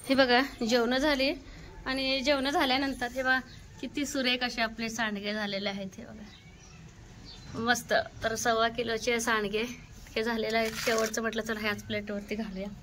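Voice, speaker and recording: A high-pitched woman with a rural Maharashtrian accent talks through her day as a family vlogger. She chats casually, asking questions and telling children what to do. The recording is made at home with clear noise behind her. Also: little pitch movement, normal speed, a bit bright. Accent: rural Maharashtrian Marathi. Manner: chatty, questioning and instructing children. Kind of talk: casual home vlog narration and chat with family.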